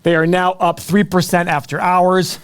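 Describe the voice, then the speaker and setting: A man's voice: high-pitched, little pitch movement, normal speed, clean tone. A man hosting live stock earnings commentary, talking conversationally and explaining things directly to viewers. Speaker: finance show host.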